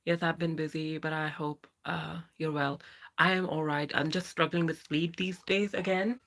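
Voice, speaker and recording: Woman Pakistani-accented livestreamer, bright and forceful. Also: low-pitched, steady tone, moderate pace. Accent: Pakistani English. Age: 30s. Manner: chatty, answers viewers by name. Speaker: livestream host. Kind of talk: casual live chat reading viewer comments.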